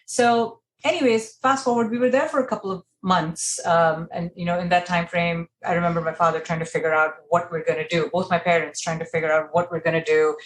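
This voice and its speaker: A woman's voice: lowish voice, fairly even intonation, fairly quick, hardly any pauses, poor audio. A woman in her thirties from Kuwait, telling her life story in a podcast interview with many fillers and restarts. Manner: conversational, many "you know" fillers and restarts. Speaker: interior designer, guest.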